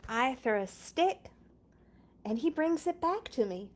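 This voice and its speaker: A woman reading a children's picture book aloud in an expressive, playful way, with chatty casual asides. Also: sits high, a little slow, a little hiss or hum.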